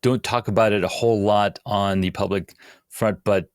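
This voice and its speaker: Low, smooth-voiced man from Pakistan. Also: steady tone, moderate pace, no room sound, clean studio sound. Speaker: podcast guest. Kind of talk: casual interview answers.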